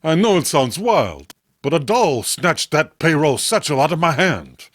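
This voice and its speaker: A man's voice: a little high, sing-song, unhurried, dry recording, clean studio sound. A man playing a frantic robbery victim, pleading desperately in a horror comic reading.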